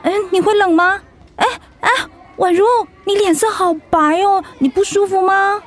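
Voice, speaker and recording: A woman's voice: very high voice, fairly even intonation, moderate pace. An actress playing a caring, flustered schoolgirl classmate in a radio drama. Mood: worried.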